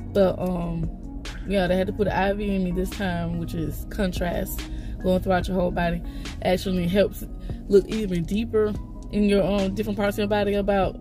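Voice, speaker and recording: A woman, chatting to her phone camera from a parked car. She sounds congested and rambles, with lots of fillers and restarts. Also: medium pitch. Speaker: vlogger. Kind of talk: casual vlog chat to camera. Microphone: phone camera held close.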